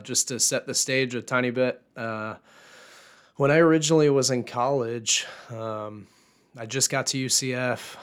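A young man with a hoarse voice from a recent illness, hosting a solo faith podcast in a casual, earnest way with many fillers. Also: mid-range voice. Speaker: podcast host.

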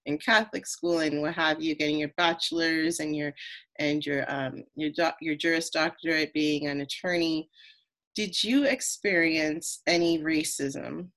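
A woman from New York with a slightly low voice hosts the conversation. She asks easygoing questions and keeps her guest going with encouraging "right"s and "wow"s. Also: fairly even intonation, unhurried, fairly bright tone. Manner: encouraging, affirming interjections. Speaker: interviewer, host. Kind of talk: conversational interview.

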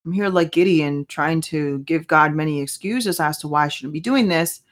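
A fairly low-voiced woman whose first language is Spanish talks through her own faith podcast. She sounds earnest and conversational, often stopping to ask herself questions as she goes. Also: normal speed. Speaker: podcast host. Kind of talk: casual faith podcast monologue.

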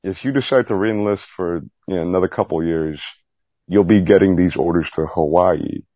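A man with a strikingly deep, husky voice talks candidly as a guest in a casual interview. He rambles in a self-deprecating, lightly profane way. The recording is somewhat dull and muffled. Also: normal speed, mellow, dry recording. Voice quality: low, gravelly-husky voice. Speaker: podcast guest, Navy veteran.

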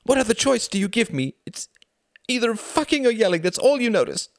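Man voicing a desperate, wounded young wife, pitched high. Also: very animated, drawn-out words, no echo, clean studio sound, heavily acted. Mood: exasperated. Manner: emotional, pleading, insistent.

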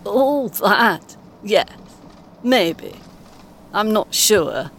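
An actress playing a polite, patient older British grandmother in an audio play, giving short, gently encouraging replies. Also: expressive, very slow. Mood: mildly amused. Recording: faint vehicle noise behind. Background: vehicle rumble, coach ambience.

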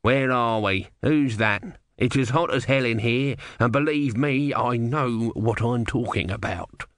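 A man's voice: mid-range voice, a little slow, fairly bright tone, dry recording, close to an everyday voice. A grumpy little tortoise god, acted out by a male audiobook reader, snappish and full of complaint. He sounds sardonic and irreverent, close and dry on the microphone. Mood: irritable, complaining.

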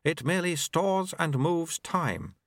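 A man voicing a calm, patient master sage with a measured, matter-of-fact way of talking. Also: on the high side, no room sound, clean studio sound, voice pushed up.

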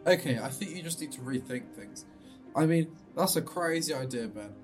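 A man's voice: on the high side, moderate pace, close to an everyday voice. Man playing cautious young friend, music underneath. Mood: concerned. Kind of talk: radio drama dialogue.

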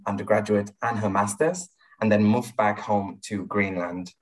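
A man's voice: on the low side, low-quality recording. A man in his early twenties from Spain with a Spanish accent, hosting a podcast with earnest, slightly formal delivery. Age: early 20s. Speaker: podcast host. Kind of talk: scripted podcast intro and interview questions.

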